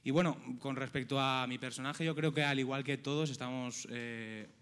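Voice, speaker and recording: A man's voice: mid-range voice, fairly even intonation, moderate pace, fairly bright tone, clear, resonant tone. A man from a theatre cast talking about the project at a press presentation, with many restarts and fillers. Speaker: cast member of a zarzuela production. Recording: long hall reverb.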